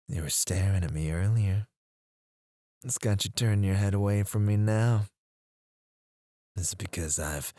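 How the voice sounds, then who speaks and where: A man voicing a teasing, menacing male captor who interrogates a prisoner in an ASMR roleplay, in a slow, intimate voice with soft chuckles and whispers on a close mic. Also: deep voice, breathy edge, no room sound, full character voice.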